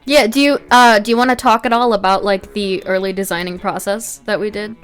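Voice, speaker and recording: A woman's voice: mid-range voice, steady tone, a little fast. A woman giving casual, informal artist commentary in a conversational way. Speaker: artist narrating own speedpaint. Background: background music.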